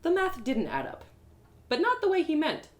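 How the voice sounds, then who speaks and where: A woman's voice: high voice, huge pitch swings, normal speed, roomy sound. A woman storyteller reading first-person fiction aloud as a dramatic live narration. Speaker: author and storyteller.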